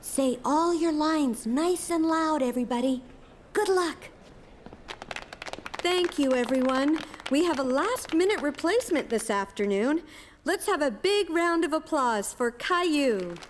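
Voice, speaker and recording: An encouraging cartoon schoolteacher running a school play: high-pitched, lively delivery, reverberant hall. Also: female voice, unhurried, a little hiss or hum. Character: encouraging female schoolteacher directing a children's play. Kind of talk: scripted children's cartoon acting.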